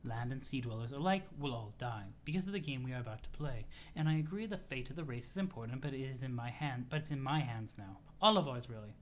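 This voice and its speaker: A man voicing a prim, composed female troll in a measured, wordy, dryly disapproving manner, reading a webcomic aloud. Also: mid-range voice, lively intonation, a little hiss or hum, voice pushed down, natural voice. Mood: cool, grave. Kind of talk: comic read-aloud of a webcomic.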